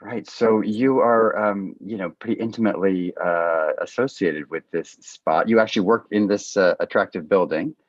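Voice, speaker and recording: A man hosting a panel discussion over a video call, speaking haltingly and with appreciation for his guests. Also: fairly low pitch, dry recording, highs cut off. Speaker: host and moderator of a panel.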